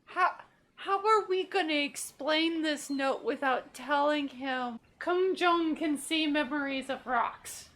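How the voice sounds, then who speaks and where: A woman's voice: sits high, slow, fairly bright tone. A woman hosting a casual gaming playthrough, jokily paraphrasing what the characters say. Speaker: gaming video host and player.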